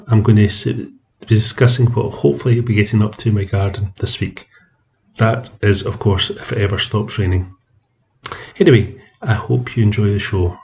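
A man's voice: on the low side, dark tone, muffled recording, a little hiss or hum. A man with a Scottish accent, hosting his own podcast in a friendly, conversational way. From Scotland. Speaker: podcast host, amateur gardener. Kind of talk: solo podcast talk.

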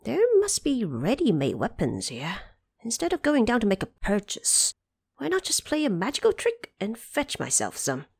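A woman voicing a bold, shrewd male monkey leader who speaks deliberately and with command in an audio story. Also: a little high, wildly swooping pitch, creaky, husky, full character voice. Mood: scheming.

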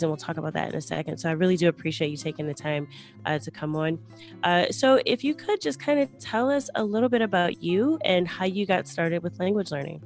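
A woman's voice: medium pitch, darkish tone. A woman hosting a podcast, chatting casually with her guest and using many fillers. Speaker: podcast host. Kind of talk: casual interview chat.

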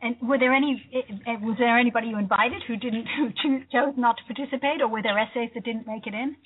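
Husky-voiced woman interviewer on a phone-like line. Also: a little high, steady tone, quick pace. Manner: long winding questions, soft laughs. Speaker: radio interviewer. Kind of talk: radio interview questions.

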